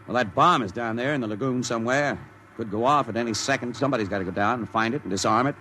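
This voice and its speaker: An actor playing a hard-driving army general who barks curt orders in a 1950s radio drama. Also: male voice, medium pitch, quick pace, pushed and bright, lightly acted. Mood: brusque. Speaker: general commanding a bomb test. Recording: vintage radio broadcast with faint hiss.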